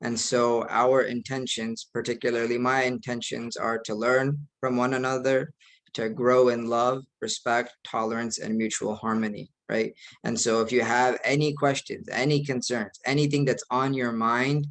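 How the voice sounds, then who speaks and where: Young male imam, earnest, steady monotone delivery. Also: mid-range voice, normal speed, poor audio. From United States. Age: young adult. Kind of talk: online presentation.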